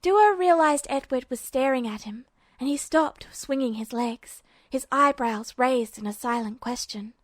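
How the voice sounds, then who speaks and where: A woman's voice: high voice, a little slow, few pauses, no room sound, clean studio sound. A woman reading scripted fiction as the narrator of an amateur audiobook. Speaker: podfic narrator.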